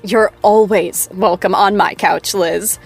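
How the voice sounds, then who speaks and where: A woman's voice: medium pitch, steady tone, takes it slowly, creaky, slightly rough, soft background music, heavily acted. An actress playing a bubbly young talk show host who teases her guest and her fans in a playful, theatrical way. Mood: upbeat teasing.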